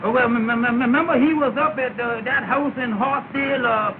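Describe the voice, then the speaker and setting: An actor playing a timid, stammering young cab driver who hesitates with many uh's, in 1930s film comedy acting on a tinny, hissy old soundtrack. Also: male voice, steady tone, fairly quick. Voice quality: high, creaky voice. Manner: hesitant, full of uh's and restarts.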